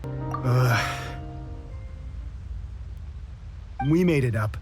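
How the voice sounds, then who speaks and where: A man's voice: mid-range voice, expressive, takes it slowly, over music. A man playing a boisterous, hot-headed, boozy resistance soldier in a video game, brash and challenging. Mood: impressed, confiding. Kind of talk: English game voice acting.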